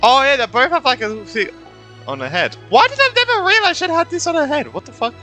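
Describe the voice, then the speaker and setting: A man's voice: very high-pitched, big pitch swings, fast, bright, forceful tone, soft background music. A man giving casual, offhand live commentary while playing a game, informal and slangy. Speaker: gaming YouTuber and streamer.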